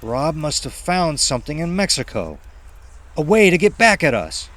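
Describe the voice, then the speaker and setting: A man acts out a commanding male leader in a horror audiobook. He is earnest and insistent, with his voice raised in pitch and lively in inflection. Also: normal speed. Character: steady, commanding man, leader of frightened friends.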